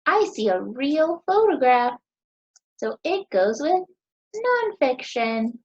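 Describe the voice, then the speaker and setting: An American woman in her thirties teaching young children, asking questions in a sing-song, encouraging way with deliberate pauses. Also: sits high, very animated, muffled recording. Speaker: teacher hosting a children's story time.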